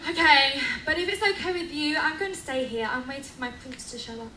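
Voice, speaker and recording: A woman's voice: high voice, lively intonation, fairly quick. A woman playing a dreamy, vain young would-be princess in an amateur radio play, theatrical in manner. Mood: wistful. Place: public lounge on a cruise ship. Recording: echoey distant mic, loud crowd and noise.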